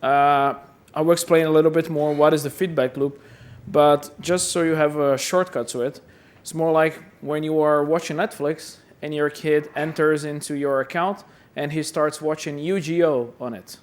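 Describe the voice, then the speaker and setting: Man from Brazil, conference speaker, chatty and self-deprecating, slightly high voice. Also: fairly even intonation, normal speed, hardly any pauses. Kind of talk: conference talk. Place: conference hall.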